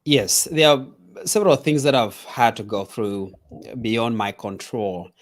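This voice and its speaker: A man, a guest on a talk show, calmly and reflectively telling his life story. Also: medium pitch, a little slow. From Kenya. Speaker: guest speaker. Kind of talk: interview storytelling.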